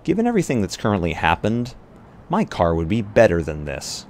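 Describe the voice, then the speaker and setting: A man playing a tabletop roleplay game, improvising casually with hesitant pauses mid-sentence. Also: fairly low pitch, huge pitch swings, a little mellow. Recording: noisy live stream.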